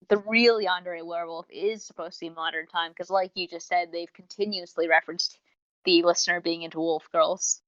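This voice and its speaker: A woman's voice: mid-range voice, sharp tone, no room sound. A young woman talks as a podcast co-host in a casual, chatty way. She wanders into tangents and cuts across her own sentences. The sound is close and dry, with a slightly muffled lo-fi quality. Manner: chatty, tangent-prone, self-interrupting. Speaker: podcast co-host reviewing audio dramas. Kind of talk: casual rambling review chat. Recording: dull, lo-fi close dry mic.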